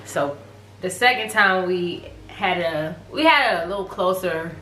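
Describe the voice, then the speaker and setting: A woman's voice: fairly low pitch, measured pace, sharp tone, echoey room, poor audio. A woman, co-hosting a casual story time vlog in a chatty, teasing way. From Texas, USA. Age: 20s. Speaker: vlog co-host.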